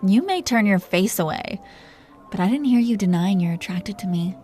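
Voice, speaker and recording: Dragon queen conqueror, played by a woman: flirtatious, possessive, commanding, mid-pitched, intimate roleplay audio with music underneath. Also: lively intonation, normal speed, dark tone, natural voice. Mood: mock-offended, flirtatious. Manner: teasing, commanding, flirtatious with pet names.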